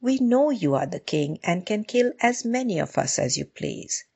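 A woman's voice: mid-range voice, big pitch swings, moderate pace, gravelly, warm tone, breathy edge, voice pushed up, full character voice. A woman voicing a timid jungle animal that pleads and reasons politely with its king in a storybook tale. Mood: timid, pleading.

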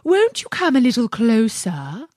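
A woman's voice: a little high, huge pitch swings, dry recording, clean studio sound, voice pushed up, heavily acted. A woman voicing a sly storybook fox, coaxing in a feeble voice as if hard of hearing. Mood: feebly coaxing. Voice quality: sharp, then feeble and drawn-out when feigning. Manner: coaxing, slow. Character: sly fox feigning feeble deafness, then snapping.

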